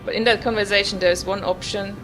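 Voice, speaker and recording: A woman's voice: medium pitch, fairly even intonation, brisk, rough recording. A woman giving casual gameplay commentary as a video host, musing aloud in an offhand way. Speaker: gaming video host, player. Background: loud game music.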